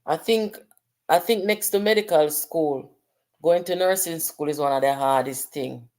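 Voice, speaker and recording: A woman's voice: low-pitched, measured pace, darkish tone. A middle-aged Jamaican woman hosting a casual livestream. She chats with viewers, reads out their comments and slips between patois and English. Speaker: livestream host.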